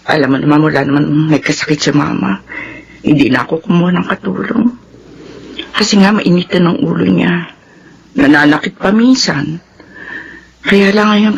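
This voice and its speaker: An actress playing a gentle, lonely, grieving rich woman in a Filipino radio drama. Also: on the low side, gravelly, breathy edge, dull audio. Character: grieving, weary wealthy woman, gentle, lonely orphaned heiress.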